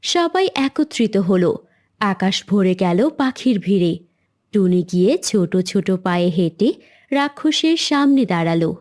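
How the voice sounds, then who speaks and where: A woman's voice: medium pitch, big pitch swings, moderate pace, darkish tone. A woman narrating a cartoon fairy tale in a scripted storytelling style.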